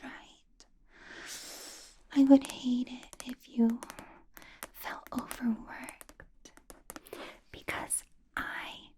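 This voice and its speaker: A woman voicing a doting, possessive vampire boss in a soft, breathy ASMR whisper, intimate and coaxing with quiet menace. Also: on the high side. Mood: sweet, attentive, then doting, concerned.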